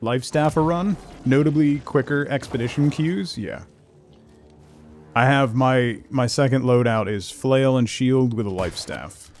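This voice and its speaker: A man's voice: medium pitch, unhurried, a little mellow, faint music behind. A man doing a casual, rambling livestream over a video game, talking conversationally with viewers. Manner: rambling, conversational, reads chat and game text aloud. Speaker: game streamer, host.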